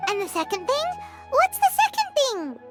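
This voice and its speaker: A woman voicing a small, excitable, caring fairy companion with a squeaky childlike voice in a video game. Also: normal speed, exaggerated voice. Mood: eager. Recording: loud game music behind. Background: tinkly children's game music.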